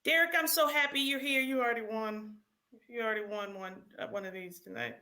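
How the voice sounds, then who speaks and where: A woman hosting a live read-aloud quiz for children in an animated, encouraging way, calling out to participants and repeating questions. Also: on the high side, a little fast.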